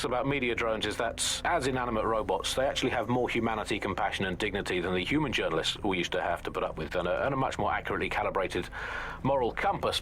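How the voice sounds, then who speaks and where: A man's voice: medium pitch, a bit bright, a little hiss or hum. A man playing an exasperated satirist co-hosting a radio comedy show, ranting theatrically in mock-grand flourishes.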